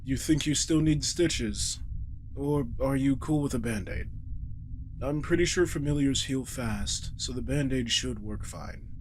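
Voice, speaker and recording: A man playing a sardonic, ancient vampire king in an intimate roleplay audio drama, low and gravelly, teasing with dry menace. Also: a little hiss or hum, lightly acted. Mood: casual, brisk. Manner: unhurried, dry, casual swearing, teasing menace. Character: sardonic centuries-old male vampire king, hungry and teasing.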